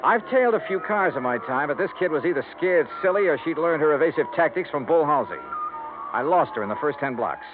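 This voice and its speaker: Laconic hardboiled investigator, dry and sardonic, an actor; tinny old radio with music. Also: male voice, a little high, animated, fairly quick, natural voice. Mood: wry. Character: wry hardboiled male insurance investigator. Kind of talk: 1950s radio drama narration and dialogue.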